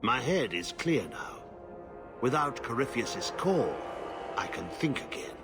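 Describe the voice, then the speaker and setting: A man playing a grave, haunted veteran warrior in a fantasy video game, speaking in solemn, halting, terse pronouncements. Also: mid-range voice, very animated, music in the background. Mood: solemn gratitude.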